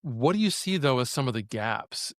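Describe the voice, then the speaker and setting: A man hosting a business podcast, introducing his guest and asking measured questions. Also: mid-range voice, animated, dry recording. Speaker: podcast host. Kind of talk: podcast interview.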